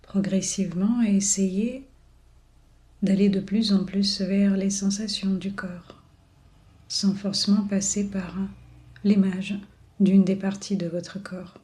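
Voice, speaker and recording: Female meditation guide, mid-range voice, very slow and soothing, leaves long pauses. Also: medium pitch, steady tone, dark tone. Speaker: yoga and relaxation guide. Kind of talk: guided body scan meditation.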